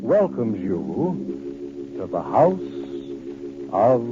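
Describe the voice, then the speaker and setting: Mysterious 1940s American radio mystery host, theatrical, unhurried suspense, muffled vintage recording over music. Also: male voice, fairly low pitch, huge pitch swings, heavily acted. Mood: ominous, inviting. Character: mysterious male storyteller host of spooky tales.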